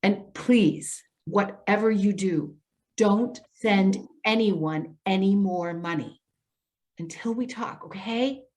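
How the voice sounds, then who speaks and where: A woman's voice: medium pitch, slow pace, a little mellow, close to an everyday voice. Woman playing apologetic, cautious social worker. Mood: cautious concern. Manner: hesitant, earnest, placating. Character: well-meaning female elder affairs social worker, apologetic, cautious. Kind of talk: readers theater stage acting.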